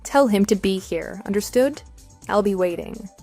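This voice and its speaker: A woman plays an unimpressed wronged wife in a radio drama. She has a medium-pitched voice and a dry, firm, sarcastic delivery, with soft music underneath. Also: animated, moderate pace. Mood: cool, insistent. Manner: dry, firm, matter-of-fact. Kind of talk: scripted radio drama dialogue.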